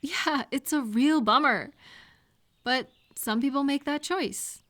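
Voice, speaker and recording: A woman plays a supportive female friend in a casual, intimate audio roleplay. Her voice sits a little high and she speaks slowly. She is gentle and reassuring, talking straight to the listener. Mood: calm, reassuring.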